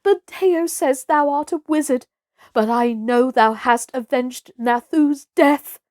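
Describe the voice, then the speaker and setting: Woman playing a high, pleading village mother. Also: slow pace, darkish tone, dry recording, clean studio sound. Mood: anguished, pleading. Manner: pleading, crying out. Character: anxious, loving village mother.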